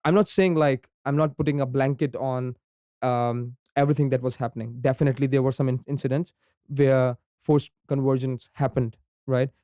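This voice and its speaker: Pakistani man explaining, mellow smooth voice. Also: mid-range voice, fairly even intonation, moderate pace, no room sound. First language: Urdu. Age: young adult. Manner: frequent ums and repeated "right?" tags. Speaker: podcast guest. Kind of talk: conversational explanation.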